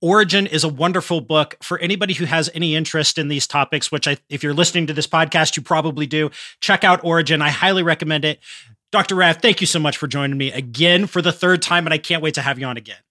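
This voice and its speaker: A man's voice: fairly high pitch, fast, pushed and bright, no echo, studio quality. A man hosting an interview podcast, talking conversationally in an emphatic, articulate, explanatory manner. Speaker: podcast host.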